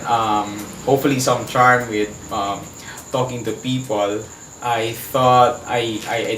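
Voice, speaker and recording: A man with a Filipino accent speaking as an interview guest, earnestly and haltingly, searching for his words as he gives personal testimony. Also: mid-range voice, fairly bright tone. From Philippines. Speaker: HIV advocate, interview guest. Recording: echoey room, distant mic, faint background noise.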